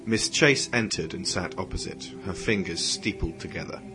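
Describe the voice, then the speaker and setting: A man with a deep, low-set voice delivers scripted story narration for an audio drama. Music plays clearly behind him. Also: lively intonation, normal speed, poor audio. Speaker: narrator and project creator.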